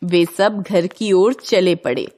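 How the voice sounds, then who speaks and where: A woman's voice: on the low side, fairly even intonation, slow pace, dark tone. A woman storyteller reading a scripted narration for a children's tale.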